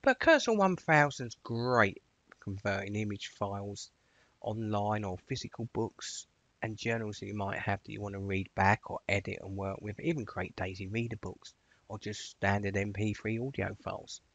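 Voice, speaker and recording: A man narrating an informal software tutorial in a chatty, directive way, stressing the starts of his phrases. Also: fairly low pitch, moderate pace, creaky, no room sound, dull audio. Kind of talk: informal instructional screencast narration.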